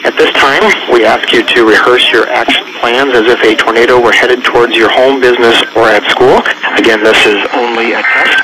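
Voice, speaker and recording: A man giving a scripted public test announcement in a deliberate, official manner, heard through a weather radio speaker. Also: mid-range voice, steady tone. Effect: played through weather radio speaker. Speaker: emergency alert announcer. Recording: loud noisy radio speaker recaptured in room.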